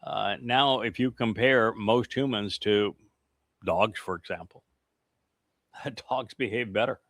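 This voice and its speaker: A man's voice: fairly low pitch, slow, a bit bright, no room sound, clean studio sound. A man teaching scripture in an earnest, emphatic cadence, drifting into rambling, chuckling asides to the people with him. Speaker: religious teacher.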